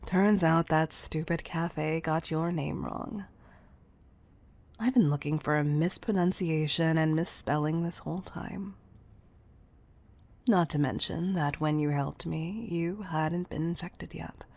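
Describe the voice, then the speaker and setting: A woman playing a wealthy, obsessive CEO in an intimate audio roleplay, speaking in a breathy, gravelly murmur that is affectionate and confessional. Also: low voice, slow, darkish tone, full character voice. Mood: confiding, wistful. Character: wealthy obsessive female CEO, tender yet controlling. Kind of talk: intimate audio roleplay monologue, partly whispered.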